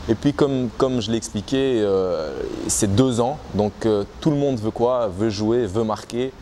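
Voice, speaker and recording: A man's voice: on the low side, a little slow, warm tone, a little hiss or hum. A young man, talking casually to the camera about his time as a basketball player, with many restarts and fillers. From Belgium. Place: outdoors in front of trees.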